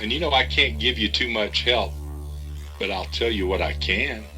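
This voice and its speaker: Cagey male informant for audio drama, played by an actor: lowish, forceful voice, guarded delivery, faint music bed. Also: normal speed, rough recording. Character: cagey male insider informant, secretive, cautioning.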